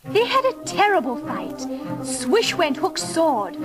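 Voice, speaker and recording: A sweet young girl with a very high, lilting voice. She speaks slowly and gently, like an eager big sister, in a storybook production with music behind. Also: very animated, full character voice. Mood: gentle storytelling. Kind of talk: children's storybook record acting.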